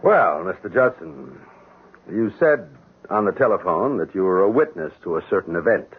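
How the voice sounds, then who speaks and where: An actor in a 1970s radio drama playing a scheming lover, formal and courteous with quiet menace. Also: male voice, sits low, very animated, moderate pace, a little hiss or hum. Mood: smooth, formal. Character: smooth, sinister scheming lover, a man. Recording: muffled, thin vintage radio recording.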